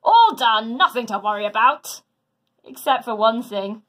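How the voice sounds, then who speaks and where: Woman acting a loud, exuberant storybook hero man. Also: on the high side, lively intonation, unhurried. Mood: breezy, reassuring. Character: boisterous, cheerful, big strong mythical hero man, boastful. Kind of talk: children's storybook read aloud.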